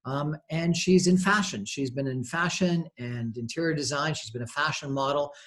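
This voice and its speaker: A man hosts a conversational radio interview in a somewhat high-pitched voice. His delivery is halting and hesitant as he introduces and questions. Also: a bit bright. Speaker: radio show host.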